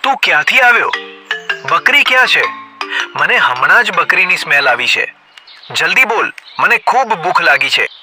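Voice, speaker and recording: A man voicing a hungry, fierce male lion in a cartoon, gruff and demanding. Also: high-pitched, sing-song, moderate pace, pauses often. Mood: menacing. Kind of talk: cartoon voice acting. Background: background music.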